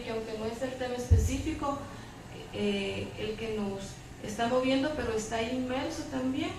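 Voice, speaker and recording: Female Salvadoran debate panelist, halting delivery, distant from the mic in a noisy space. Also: a little high. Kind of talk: spontaneous spoken commentary at a public debate. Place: public debate room, picked up from afar. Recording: distant, very echoey room with clear noise.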